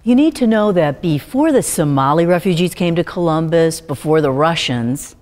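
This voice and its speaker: A woman's voice: sits low, lively intonation, normal speed, darkish tone. An American woman reading scripted documentary narration.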